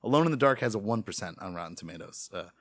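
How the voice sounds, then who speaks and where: A man's voice: on the low side, expressive, rapid-fire, no echo. A man hosting a casual podcast discussion, talking in a rambling, opinionated way. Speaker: podcast host leading the discussion. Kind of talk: casual chat.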